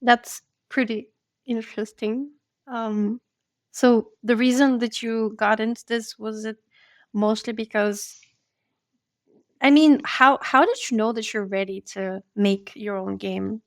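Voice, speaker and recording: A woman's voice: fairly high pitch, fairly even intonation, slow, no room sound, clean studio sound. A woman hosting a podcast, interviewing in a conversational, informal and friendly way. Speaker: podcast host.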